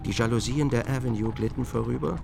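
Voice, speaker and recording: A man reads literary narration for a radio drama. His voice is mid-pitched with a clear gravelly creak, delivering scripted prose as the narrator. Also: steady tone, quick pace, background noise.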